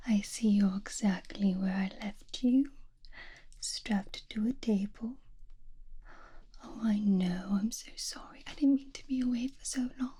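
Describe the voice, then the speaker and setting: A woman playing an obsessive, doting female mad scientist in a whispered ASMR fantasy roleplay, cooing and gently scolding in a soft, breathy voice. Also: mid-range voice, unhurried. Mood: tender, apologetic.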